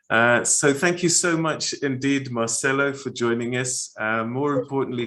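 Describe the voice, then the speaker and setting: Man hosting a webinar, halting. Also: mid-range voice. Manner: warm, halting with many uh fillers. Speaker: webinar host. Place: studio set, indoors. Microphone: video call.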